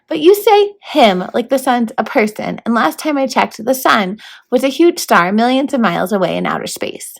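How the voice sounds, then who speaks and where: A woman's voice: on the high side, sing-song, moderate pace, gravelly, full character voice. A woman voicing a plucky, wry young schoolgirl heroine with a casual, questioning manner in a storybook read-aloud. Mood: doubtful.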